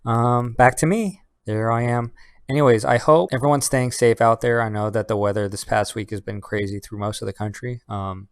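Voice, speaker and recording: A man's voice: mid-range voice, smooth, no echo, clean studio sound. A man giving a casual personal vlog update in a rambling, conversational way. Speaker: vlogger; Air Force master sergeant in knowledge management.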